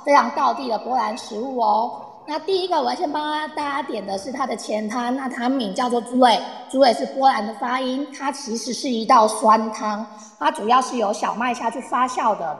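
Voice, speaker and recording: A woman's voice: fairly high pitch, gravelly. A woman playing a chatty, eager tour guide who explains patiently and coaxingly in a radio play. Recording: echoey reverberant room sound.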